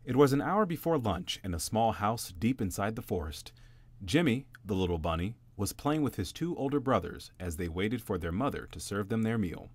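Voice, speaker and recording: A man with a lowish voice reads a bedtime story in a gentle, expressive way, his pitch rising and falling with the tale. The sound is close and dry, with no room around it. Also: moderate pace, husky, dry recording. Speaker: narrator.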